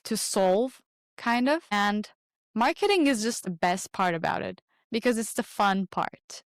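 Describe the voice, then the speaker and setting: A woman's voice: mid-range voice, clean tone, dry recording, studio quality. Bubbly teenage woman from Turkey. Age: teens. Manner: bubbly, quick laughs, trailing pauses. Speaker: podcast producer and guest. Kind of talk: casual interview chat.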